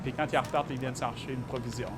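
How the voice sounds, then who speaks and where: A man's voice: medium pitch, fairly even intonation, rapid-fire, very noisy. A middle-aged man, a baker talking in a homey, chatty way in a documentary interview. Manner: homey, chatty, trails sentences with tag words. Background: guitar music.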